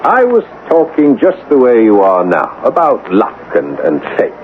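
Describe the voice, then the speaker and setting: A blustering army major, swinging pitch. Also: male voice, wildly swooping pitch, measured pace. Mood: scoffing, defiant. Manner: blustering, dismissive. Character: cocksure, scoffing British army major in colonial India. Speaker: actor in a radio drama. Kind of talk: old-time radio mystery acting. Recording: tinny, noisy old radio broadcast.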